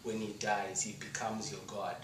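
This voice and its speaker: A man's voice: on the low side, little pitch movement. Echoey, distant Zimbabwean man giving a testimony. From rural Shurugwi, Zimbabwe. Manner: earnest, emphatic, deliberate rhetorical phrasing. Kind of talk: rehearsed testimony and appeal speech to an audience. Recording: roomy echo, distant mic, faint noise.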